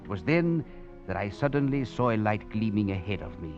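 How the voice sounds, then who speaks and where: Swaggering French convict, dramatic swooping delivery. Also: male voice, on the low side, very animated, a little fast. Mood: brooding, wry. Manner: theatrical, florid, wry chuckles. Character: swaggering escaped French convict, philosophizing rogue. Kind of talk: 1940s radio drama acting and narration. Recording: muffled old radio recording with music.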